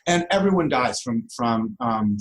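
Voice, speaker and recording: A man's voice: medium pitch, lively intonation, measured pace. Male professor giving an interview, conversational. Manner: informal, rhetorical tag questions like "right?". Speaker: interview guest, law professor.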